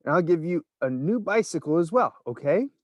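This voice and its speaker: A man's voice: a little high, animated, fairly quick, dry recording, studio quality, pitched above the performer's own voice. A man voicing a sly tall man who whispers a wheedling secret offer in a storybook reading.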